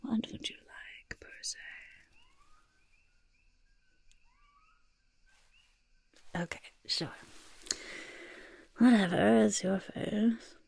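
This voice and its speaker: A young woman voicing a grudging, smug goblin disguise artist in a breathy, raspy, soft-spoken ASMR roleplay, muttering reassurances close to the microphone. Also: medium pitch, slow pace, dry recording, exaggerated voice. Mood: fussy, soothing. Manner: refers to self by name, mutters and repeats reassurances. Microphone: close microphone, face close to camera.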